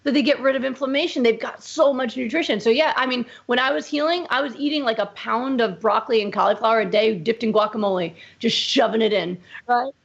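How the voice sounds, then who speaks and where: A woman doctor being interviewed, talking in an emphatic, animated way. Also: a little high, quick pace, creaky, hardly any pauses, lo-fi sound. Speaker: medical doctor, guest. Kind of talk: conversational interview.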